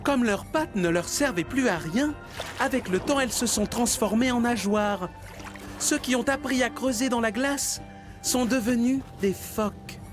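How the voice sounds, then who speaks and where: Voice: mid-range voice, measured pace, music in the background, lightly acted. An actor playing a friendly, helpful elephant seal who explains things patiently in a cartoon dub. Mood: helpful storytelling. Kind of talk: cartoon dubbing.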